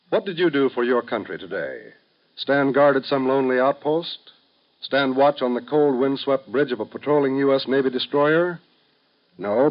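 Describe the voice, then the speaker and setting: Emphatic 1950s male radio announcer, lively. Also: medium pitch. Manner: formal, emphatic, declamatory. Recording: dull vintage radio broadcast.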